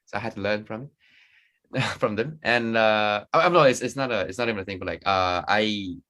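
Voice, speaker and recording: A rather low-voiced young man from Korea speaks with a Korean accent shaded by British vowels. He chats casually, stopping and restarting his sentences and laughing easily. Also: sharp tone. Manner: halting, many restarts, laughs often. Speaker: co-host. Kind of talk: casual video-call chat.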